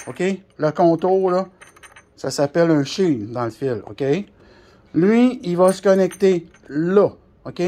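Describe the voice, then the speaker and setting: A man's voice: high-pitched, a little mellow. A man with a Quebec French accent giving an informal hands-on explanation, colloquial and repeating points for emphasis. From Canada. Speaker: CB radio shop technician.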